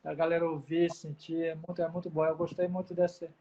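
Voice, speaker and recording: Stream host, even monotone, short affirming replies, dull lo-fi sound. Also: male voice, on the high side, fairly quick, slight background noise. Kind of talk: casual live-stream interview.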